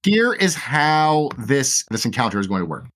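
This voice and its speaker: A man acting as game master, explaining game rules quickly and plainly. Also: medium pitch, sing-song, fairly bright tone.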